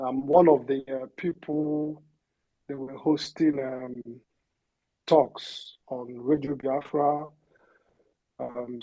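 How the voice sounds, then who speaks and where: Hesitant Igbo man, slow and dark. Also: mid-range voice, rough recording. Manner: hesitant, many fillers. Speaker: caller. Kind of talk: call-in talk on an online audio space.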